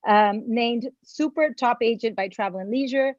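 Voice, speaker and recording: A woman with a somewhat high voice opens a virtual panel, effusive and gracious, thanking everyone as she goes. She speaks from a video call, and the sound is dry and a little dull. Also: normal speed, no echo. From Mexico. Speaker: panel moderator and agency founder. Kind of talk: opening remarks for a virtual panel.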